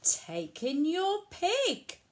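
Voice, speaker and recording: A wise old man from a storybook, voiced by a woman, handing out advice in measured instructions. The delivery is very slow and the pitch sits high. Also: wildly swooping pitch, very noisy, exaggerated voice. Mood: instructing. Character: wise old man giving calm, odd advice in picture book.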